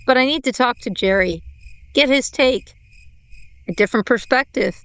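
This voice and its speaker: A woman playing a weary, self-questioning tech team leader thinking aloud in a halting radio play monologue. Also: on the high side, fairly bright tone, no room sound. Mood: tentatively hopeful. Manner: halting, introspective monologue in short fragments.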